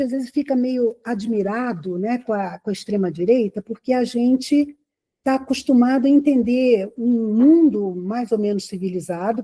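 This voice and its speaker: Woman, husky voice, very dark tone, lecturing, conversational, asks rhetorical questions. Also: mid-range voice. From Rio de Janeiro, Brazil. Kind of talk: lecture to an audience.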